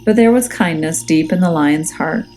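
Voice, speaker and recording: Woman reading a kids story, mellow lowish voice, expressive, soft music behind. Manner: measured, expressive, suspenseful. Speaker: teacher reading aloud. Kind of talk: dramatic children's storybook narration. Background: music and nature sounds.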